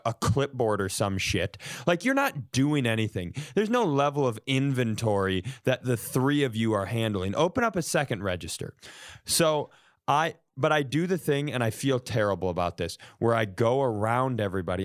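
A man's voice: mid-range voice, dry recording, clean studio sound. A man podcast host telling a casual, comedic story in an exasperated, profane way, acting out the dialogue as he goes. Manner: exasperated, profane, animated retelling with acted-out dialogue.

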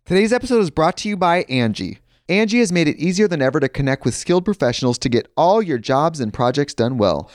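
A man's voice: on the high side, sing-song, fast talker, few pauses, no echo, clean studio sound, faint music behind. A man reading a sponsor ad in an upbeat, conversational way with personal asides. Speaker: sponsor ad reader. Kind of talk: host-read ad.

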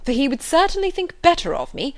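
A woman voicing a good-natured, easygoing young gentleman who talks in a lively, cheerful way. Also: high voice, fairly quick, dry recording, pitched above the performer's own voice, full character voice.

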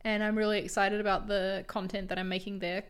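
A young woman co-hosting a podcast, her voice a little raspy from heavy use, chatting in a friendly, teasing way. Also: mid-range voice, level tone, brisk. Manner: friendly, teasing, laughs easily. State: slightly raspy from a long filming day. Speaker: podcast co-host. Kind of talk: casual chat.

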